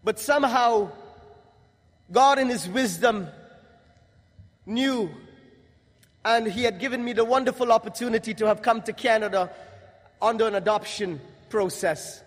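A young man from Guyana giving a religious testimony to an audience, in a measured, emphatic delivery with pauses. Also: high-pitched. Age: young adult. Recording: long hall reverb.

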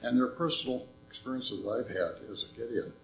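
An older man speaking in a church, making an earnest, folksy appeal with a slightly hoarse voice. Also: mid-range voice, moderate pace, roomy sound, a little hiss or hum, low-quality recording. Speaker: visiting ministry speaker. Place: church sanctuary.

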